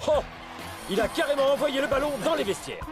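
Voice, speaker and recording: A man voicing an excitable cartoon football commentator, dramatic and exclamatory. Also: very high voice, a little fast. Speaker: match commentator. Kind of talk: animated football commentary, French dub. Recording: loud music behind the voice.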